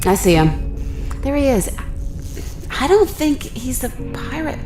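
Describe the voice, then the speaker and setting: A woman's voice: high-pitched, big pitch swings, a little slow, over music. A woman playing an earnest fledgling starship commander in a science fiction audio drama, moving between hesitance and firm command. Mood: uneasy. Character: fledgling female starship commander, earnest, unsure yet determined.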